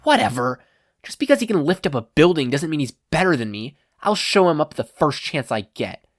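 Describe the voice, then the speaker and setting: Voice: medium pitch, sing-song, normal speed, pitched above the performer's own voice. A podfic reader voicing a brash, hot-tempered teenage boy hero student who grumbles, snaps and boasts. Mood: irritated.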